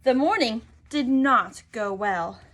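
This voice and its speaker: A woman reads a picture book aloud to young children in a fairly high voice with lively intonation. She takes her time and prompts her young listeners with questions. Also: slow.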